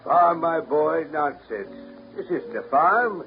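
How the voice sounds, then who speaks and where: A blustery old grandfather in a 1930s radio play, grumbling about supporting his family. His delivery is emphatic and very animated in pitch, on a tinny, narrow old broadcast recording with music behind. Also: takes it slowly, heavily acted. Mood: scolding. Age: older. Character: gruff old grandfather, grumbling, practical-minded family provider. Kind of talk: 1930s radio drama acting.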